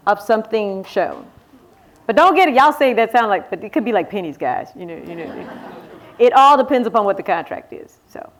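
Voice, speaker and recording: A woman author speaking casually on a panel, explaining things in a chatty, folksy, informal way. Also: a little high. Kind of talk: casual panel talk answering audience questions.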